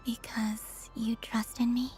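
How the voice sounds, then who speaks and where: A woman playing a wistful, tender otherworldly moon maiden in a video game, speaking softly and breathily with understatement. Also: fairly high pitch, flat delivery, slow, faint music behind. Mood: hopeful, tender.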